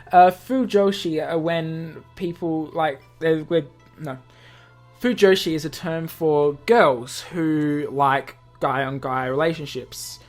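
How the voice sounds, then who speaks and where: A low-pitched woman hosts a casual let's play, with music faintly behind her. She is chatty and often steps out of the story to explain things. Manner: chatty, breaks off to explain terms. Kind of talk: casual visual novel read-aloud with commentary. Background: game music.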